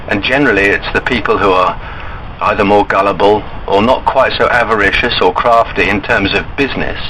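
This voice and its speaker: A man calling an enquiry line and arguing his points in an earnest, insistent, halting way. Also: on the low side, pushed and bright. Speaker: caller to a bank enquiry line. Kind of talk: argumentative phone discussion. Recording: recorded phone call with background noise.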